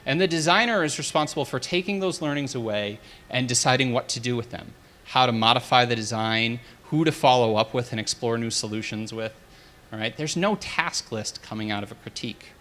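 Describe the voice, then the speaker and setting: A man giving a conference talk and taking questions from the audience, direct and energetic. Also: medium pitch, a little fast. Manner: direct, energetic, asks the room. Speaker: conference speaker.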